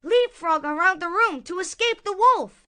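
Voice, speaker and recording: A woman's voice: extremely high pitch, animated, moderate pace, bright, forceful tone. A woman narrating a scripted children's story in a dramatic storytelling manner.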